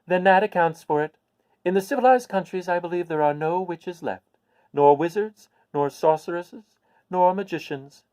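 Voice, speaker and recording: A man voicing a kindly little old woman, a good witch from a storybook, speaking in an emphatic, deliberate way. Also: high voice, a little mellow, dry recording, pitched above the performer's own voice, lightly acted. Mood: earnest explaining. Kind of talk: storybook character voice.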